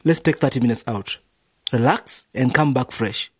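An actor playing an exasperated, bossy music producer in a radio drama, scolding with emphasis in a husky voice. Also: male voice, mid-range voice, moderate pace, natural voice.

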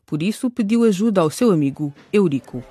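A man's voice: high-pitched, animated, a little fast, warm tone, breathy edge. A man reading scripted narration as the storyteller of a radio drama.

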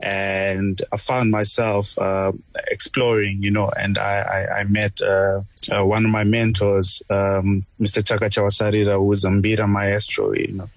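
A man's voice: on the low side, little pitch movement, moderate pace, no echo. A man from Zimbabwe, a guest musician talking casually in a radio interview, often repeating words and using fillers as he searches for them. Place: remote location away from home, calling in.

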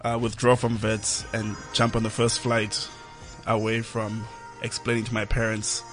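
A man with a South African accent, a Zulu speaker, answering interview questions in a measured, reflective way. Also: on the low side, completely flat delivery, soft background music. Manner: measured, reflective, softening toward the end of phrases. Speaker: film director, studio guest. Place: radio studio.

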